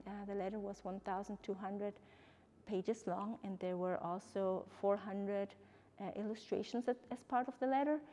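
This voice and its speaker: A woman from Ecuador with an Ecuadorian Spanish accent, explaining her exhibition as an artist and professor in measured, hesitant phrasing. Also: medium pitch, warm tone. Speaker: artist and art professor presenting own exhibition.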